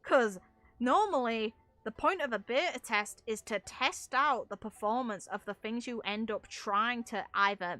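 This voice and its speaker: Bright-voiced young woman, blunt. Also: on the high side, no room sound. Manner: blunt, swearing freely. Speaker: gaming content creator. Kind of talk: casual opinionated game commentary.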